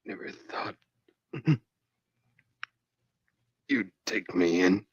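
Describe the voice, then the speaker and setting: An actor playing a wounded young outlaw, weak and gasping, husky and pleading, in old radio western style. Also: male voice, low-pitched, animated, very slow, no room sound, highs cut off, heavily acted.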